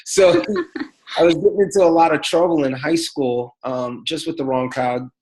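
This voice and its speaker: A man, a pastor telling his life story in a relaxed, chatty way. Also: on the high side, moderate pace, low-quality recording. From the Bronx, New York City. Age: 40s. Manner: relaxed and chatty, many fillers, laughs between thoughts. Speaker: pastor, guest. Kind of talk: casual personal storytelling.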